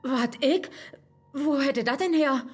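An actress with a northern German accent playing a sceptical but soft-hearted young woman in a verse radio play, earthy and matter-of-fact. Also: high voice, slow, soft background music. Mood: puzzled.

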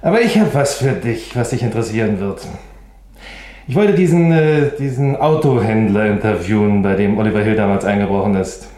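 Actor as wry, nosy reporter, roomy sound. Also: male voice, lowish voice, fairly quick, dark tone, lightly acted. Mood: eager. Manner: sly, probing, casual. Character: nosy, wry, persistent male newspaper reporter. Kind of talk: German crime radio play acting.

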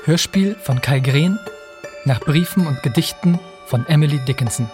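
A woman's voice: low-pitched, expressive, normal speed. A woman reading a scripted title announcement as the announcer of a radio play. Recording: jingle music behind the voice.

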